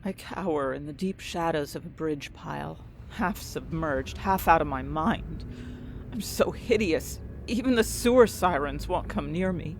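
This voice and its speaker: A woman's voice: low-pitched, a little slow, a little hiss or hum. A woman acting an anxious, desperate young heroine who tells her own fantasy story in intense, dramatic first-person narration. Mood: despairing. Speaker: narrator and main character of an audio fiction story.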